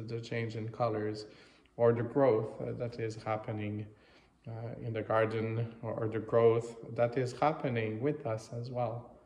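French canadian priest guy, native french speaker, pretty flat delivery, halting homily, lots of reverb. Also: mid-range voice, slight background noise. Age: 30s to 40s. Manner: halting, reflective, with many pauses. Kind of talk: informal homily. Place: small chapel, indoors. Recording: echoey, reverberant chapel sound.

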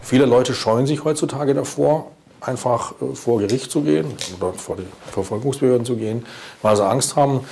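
Mellow-voiced middle-aged man, official interview. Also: mid-range voice, brisk, vocal fry, slight background noise. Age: 50s. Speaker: state prosecutor interviewed. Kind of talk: formal interview statement. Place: indoor office.

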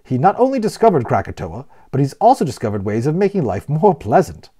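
A man's voice: mid-range voice, sing-song, a little fast, dark tone, slightly rough. A man voicing a genial, talkative man who explains things proudly and playfully as a guide in a storybook. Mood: enthusiastic. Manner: explanatory, emphatic, playfully wordy.

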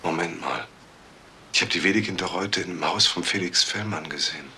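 An actor playing a grave police investigator in charge, sober and decisive, in a crime radio drama. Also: male voice, low-pitched, normal speed, background noise, natural voice.

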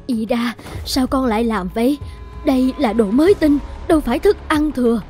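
Woman voicing devoted cartoon mother, mellow, fairly high voice, gentle, worried, coaxing. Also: normal speed, soft background music, close to an everyday voice. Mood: hurt, pleading. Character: gentle, anxious, devoted poor middle-aged mother. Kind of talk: animated fairy-tale dubbing.

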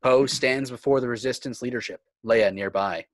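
A man serves as narrator of a screenplay. His voice is medium in pitch and recorded dry, reading scene directions as scripted narration. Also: normal speed, dry recording. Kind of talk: scripted narration at a table read. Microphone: video call.

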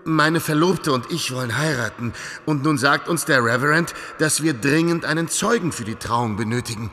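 Rushed polite gentleman groom, radio play, sharp higher voice, big church reverb. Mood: urgent, courteous. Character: hurried, courteous gentleman bridegroom, pressed for time.

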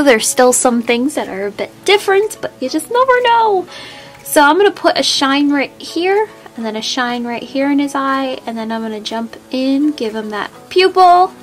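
A woman hosting a step-by-step drawing tutorial, friendly and easygoing, a little sniffly from a cold. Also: high voice. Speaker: art tutorial host.

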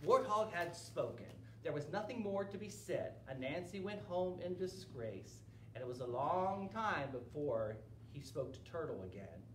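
A man in his forties telling a children's story in an expressive read-aloud style as a library storyteller. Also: on the high side, a little slow, recorded from a distance. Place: indoor library children's room. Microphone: camera a few meters away. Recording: roomy with steady background noise.

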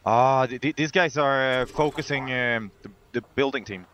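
A man's voice: mid-range voice, normal speed, fairly bright tone. A man playing as a teammate in a competitive game, giving short, tentative reports over team comms. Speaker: esports player, teammate. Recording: background noise, game sound.

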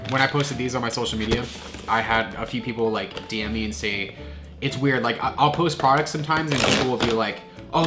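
A man in his thirties with a nasal, stuffed-up voice from a cold, chatting casually to camera while trying snacks in his kitchen. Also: mid-range voice. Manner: chatty, animated, with frequent restarts. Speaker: food vlogger. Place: home kitchen, indoors.

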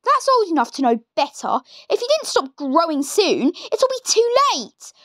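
A woman's voice: extremely high pitch, big pitch swings, moderate pace, no room sound, studio quality, voice pushed up. A woman voicing a tiny, nasty, bossy old grandmother who snaps and scolds in a read-aloud story. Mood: scolding. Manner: snapping, scolding.

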